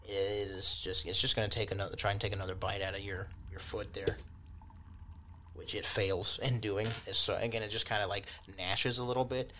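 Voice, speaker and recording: A man's voice: lowish voice, flat delivery, brisk, sharp tone, slight background noise. A man acting as game master, narrating a tabletop game in a casual, loosely improvised way. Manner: informal, loosely improvised descriptions.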